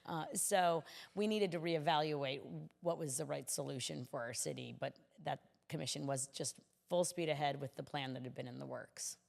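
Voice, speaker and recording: A woman's voice: sits low, normal speed, creaky, hardly any pauses, a little mellow, big-room sound. A middle-aged American woman speaking conversationally as a guest in a podcast interview. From United States. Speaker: interview guest, city commissioner.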